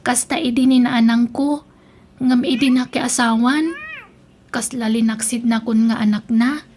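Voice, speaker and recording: Woman doing a hurt young daughter in an audio drama, really high pitched, plaintive, bit of noise. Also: fairly even intonation, measured pace, a little mellow, natural voice. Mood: grateful, plaintive. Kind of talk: Filipino audio drama acting.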